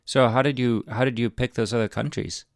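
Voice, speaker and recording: A man's voice: medium pitch, fairly even intonation, a little fast, dry recording, clean studio sound. A man hosting a podcast interview online, chatty and playful. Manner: chatty and playful, with self-deprecating asides. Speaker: podcast host. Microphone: remote online recording.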